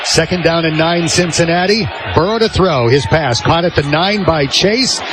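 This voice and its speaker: A man's voice: a little high, moderate pace, noisy background. An American man calling live football play-by-play on the radio in clipped, descriptive phrases.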